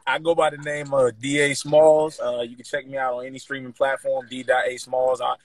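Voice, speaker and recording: A man's voice: mid-range voice, little pitch movement, fairly quick. A young man talking casually as a guest rapper on a livestream, recorded on a phone held at arm's length in a car. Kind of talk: casual chat on a livestream show.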